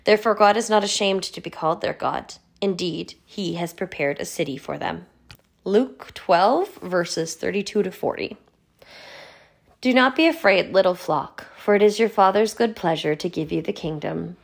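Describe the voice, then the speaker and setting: A woman's voice: on the low side, animated, normal speed, creaky. A woman giving a formal reading of scripture as a church reader.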